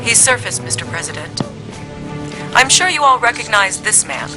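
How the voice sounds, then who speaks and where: An actress playing a composed military lieutenant, giving crisp, formal briefings in a game cutscene. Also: female voice, on the high side, very animated, moderate pace, over music. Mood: urgent, formal. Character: composed young female military lieutenant briefing her superiors. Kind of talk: video game cutscene acting.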